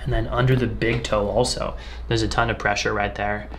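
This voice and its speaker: A man's voice: fairly low pitch, little pitch movement, brisk. A man working as a chiropractor, explaining treatment to a patient in a reassuring, conversational way as he works. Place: indoor treatment room. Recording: clear background noise, treatment room ambience.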